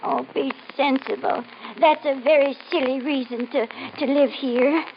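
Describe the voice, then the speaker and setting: An actress in an old-time radio mystery playing a nervous, insistent, ominous woman with a halting, pleading, melodramatic delivery. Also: high voice, fairly even intonation. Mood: urgent pleading. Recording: muffled, hissy old radio recording.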